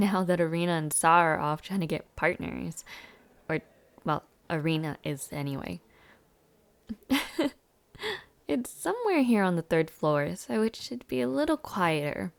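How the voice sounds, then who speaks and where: A young woman playing a friendly, chatty university student in an audio roleplay, speaking casually with hesitant afterthoughts and a creaky voice. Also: on the low side, heavily acted. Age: young adult. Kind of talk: intimate audio roleplay, casual one-sided conversation.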